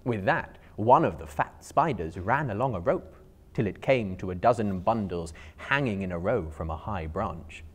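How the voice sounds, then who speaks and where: A man's voice: fairly low pitch, expressive, normal speed. A man in his thirties narrating a storybook as a dramatic reading, theatrical, emphatic and carefully phrased. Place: indoor bookstore room lined with shelves.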